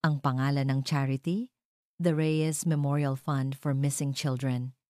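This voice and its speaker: Dark-voiced Filipino woman narrating drama. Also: very deep voice, fairly even intonation, unhurried, no room sound, clean studio sound. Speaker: story narrator. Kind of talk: dramatic scripted first-person narration.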